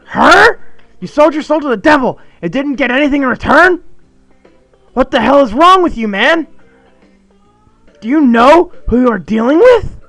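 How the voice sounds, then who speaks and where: A man's voice: expressive, moderate pace, long pauses, a bit bright, no room sound, faint music behind, exaggerated voice. A man voicing a paranoid, panicky young male shut-in who rants frantically. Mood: ranting. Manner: frantic, shrill ranting.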